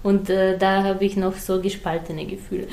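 A woman's voice: medium pitch, flat delivery, normal speed, creaky, a little mellow, echoey room. A woman whose first language is Serbian, a novelist guest speaking in a hesitant, thoughtful way with many fillers in a casual conversation.

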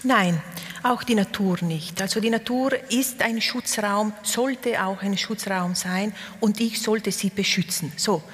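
A woman poet from South Tyrol whose first language is Ladin, answering interview questions hesitantly and pausing often to search for words. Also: medium pitch, a bit bright, big-room sound. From South Tyrol, Italy. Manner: hesitant, searching for words with many pauses and fillers.

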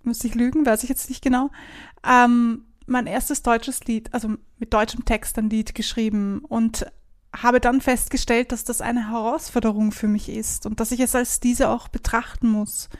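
Higher-voiced woman in casual conversation. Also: level tone, moderate pace. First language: German. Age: adult, not young teens. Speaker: interviewer, singer-songwriter.

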